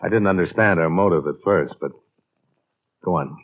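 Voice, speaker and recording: An actor playing a shrewd, hard-boiled insurance investigator in a clipped, matter-of-fact way in a 1950s radio drama. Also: male voice, deep voice, normal speed. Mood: probing. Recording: muffled, band-limited old radio recording.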